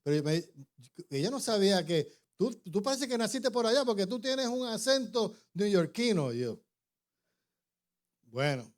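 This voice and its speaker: Pastor, conversational, higher-pitched. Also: male voice, very animated, measured pace, long pauses. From born in New York, raised in Puerto Rico. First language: English. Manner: informal, many restarts and tag questions. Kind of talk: conversational sermon.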